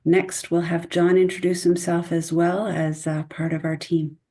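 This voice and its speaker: A woman's voice: fairly low pitch, little pitch movement, a little slow, mellow. A woman in her fifties, a surgical nurse, giving an upbeat self-introduction.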